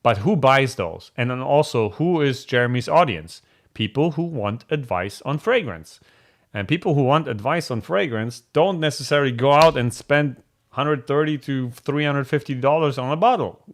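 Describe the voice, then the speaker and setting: A man's voice: mid-range voice, lively intonation, normal speed. Candid man chatting through viewer questions. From Germany. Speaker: host answering viewer questions. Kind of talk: casual live Q&A chat.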